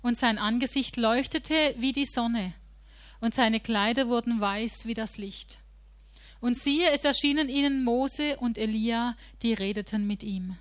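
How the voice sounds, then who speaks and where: A woman's voice: on the high side, a little slow. A woman interpreting a preacher's sermon live in a church, fluent and matter-of-fact.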